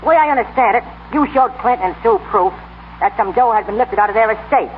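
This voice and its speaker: Fast-talking New tough guy, acted by a man. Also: high-pitched, natural voice. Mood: tough, accusing. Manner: slangy, streetwise, bossy, ungrammatical. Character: cocky, tough-talking fight manager in love. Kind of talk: 1930s radio acting. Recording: scratchy, tinny 1930s radio broadcast.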